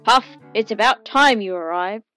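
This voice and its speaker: A woman voicing a loud, blustering male police captain. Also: mid-range voice, normal speed, faint music behind, lightly acted. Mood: exasperated.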